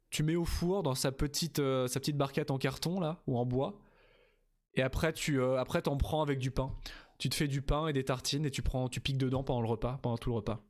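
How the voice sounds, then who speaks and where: A tired young man from Normandy, chatting casually and rambling while streaming a game, reading the chat and the game text aloud. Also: mid-range voice. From Normandy, France. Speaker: let's play streamer.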